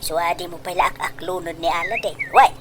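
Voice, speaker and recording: A woman playing a scheming, greedy, pushy middle-aged mother in a comedy radio drama, gushing with drawn-out exclamations in an Ilocano accent. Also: fairly low pitch, lively intonation, lightly acted. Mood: pushy, coaxing.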